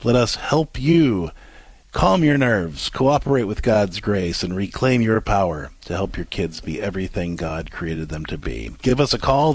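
A man's voice: mid-range voice, breathy edge, no room sound. A man co-hosting a call-in radio show, asking probing questions with frequent restarts. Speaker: radio talk show co-host.

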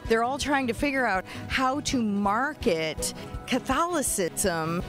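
A woman's voice: fairly high pitch, animated, slow. A woman giving a promotional video testimonial with music playing behind her.

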